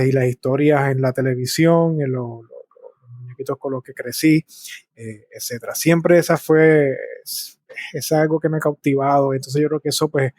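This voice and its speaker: A Puerto Rican man answers as an interview guest in a reflective, conversational way. His voice is medium in pitch, recorded dry with very little room sound. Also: a little mellow, no room sound. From Puerto Rico. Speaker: interview guest, speaker and artist.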